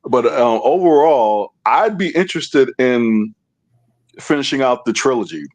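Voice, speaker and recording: A man's voice: mid-range voice, lively intonation, unhurried. A man giving a casual review as a panelist, hesitant, often repeating phrases as he thinks.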